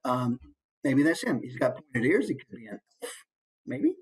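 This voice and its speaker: A man's voice: mid-range voice, very animated, moderate pace. American male, voice actor presenter, informal lesson, very varied intonation, musing aloud. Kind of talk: informal instructional talk with examples.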